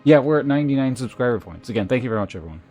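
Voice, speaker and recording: A man hosting a livestream, chatting casually and reading aloud in a halting, measured way. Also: mid-range voice, expressive, a little mellow. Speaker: live streamer host. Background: music.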